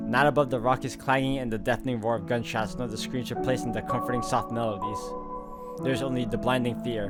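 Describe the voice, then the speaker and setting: Man narrating a visual novel over loud music. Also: mid-range voice. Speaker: let's-play host. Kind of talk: reading visual novel narration aloud with casual commentary.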